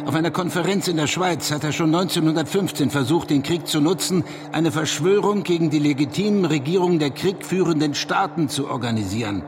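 A man's voice: fairly high pitch, fairly quick, hardly any pauses, noisy background, natural voice. An actor playing an indignant conservative man in a German radio drama, accusatory and contemptuous, given to rhetorical questions. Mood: accusing. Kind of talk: German radio drama dialogue, polemical debate.

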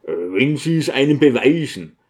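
A man's voice: mid-range voice, sing-song, measured pace, a little mellow. A man voicing an eager, boastful deacon in an audiobook, using affected, oddly stressed expressions. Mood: stubborn, resigned. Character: eager, boastful deacon showing off newly learned city slang.

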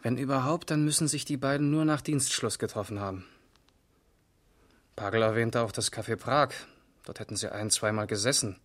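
A man's voice: medium pitch, moderate pace, a bit bright, natural voice. An actor playing a matter-of-fact, precise male police investigator in a radio crime drama. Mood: sober, reporting. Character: sober, methodical male East German police investigator. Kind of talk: 1970s German radio crime drama acting.

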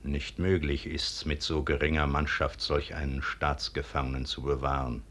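An actor playing a proud, ruthless low-born officer in a classical verse radio drama, speaking in an insistent, declamatory way. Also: male voice, little pitch movement, normal speed, a bit bright. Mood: grim, secretive.

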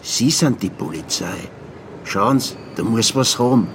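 A man's voice: fairly low pitch, animated, measured pace, a little hiss or hum. An actor playing an anxious, earnest male street beggar in a radio drama, pressing for attention. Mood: earnest, concerned.

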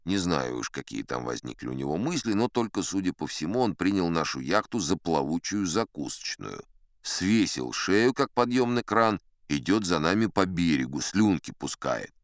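A genial old sea captain tells tall tales in a very deep voice. His delivery is folksy and wry, with lively, swooping intonation. It is a close, dry studio audiobook sound. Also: normal speed, dry recording. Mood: wry, relishing. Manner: folksy, wry, conversational raconteur. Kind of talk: dramatised audiobook storytelling.

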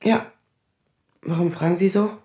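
A woman's voice: fairly low pitch, fairly even intonation, a little slow, dark tone, echoey room, close to an everyday voice. An actress playing a defensive, sardonic woman in her thirties, confessional, in naturalistic radio drama style. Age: 30s.

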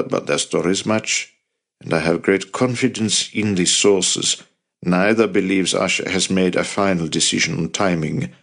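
A man voicing a determined, impassioned male resistance fighter in an audiobook, earnest, blunt and persuasive. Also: deep voice.

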